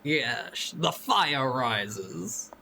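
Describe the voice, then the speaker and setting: A woman voicing a menacing masked male villain, speaking slow, low and drawn out. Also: animated, a crowd in the background, full character voice. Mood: mock menacing.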